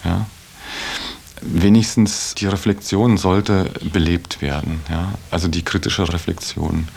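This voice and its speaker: A man's voice: low-pitched, fairly even intonation, unhurried, vocal fry, a little mellow, husky. A man giving a reflective interview in earnest, articulate phrases, hesitating often as he weighs his words. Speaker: interviewee in a radio feature.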